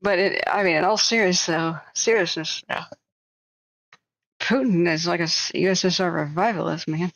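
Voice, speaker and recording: A woman's voice: on the low side, steady tone, moderate pace, fairly bright tone, no echo, low-quality recording. A woman hosting a live stream, chatting casually in a rambling, joking, self-deprecating way.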